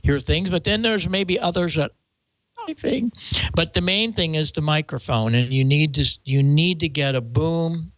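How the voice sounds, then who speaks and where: An older American man talking casually as a show host about gear, rambling and halting with stammered restarts. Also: fairly high pitch, a bit bright, no echo, rough recording. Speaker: show host and microphone maker. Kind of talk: casual show talk, explaining audio gear.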